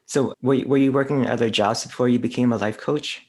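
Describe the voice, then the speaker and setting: A man's voice: mid-range voice, steady tone, fairly quick, darkish tone. A man hosting a podcast interview, asking his guest questions in an encouraging, curious way. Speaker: podcast host. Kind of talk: casual interview.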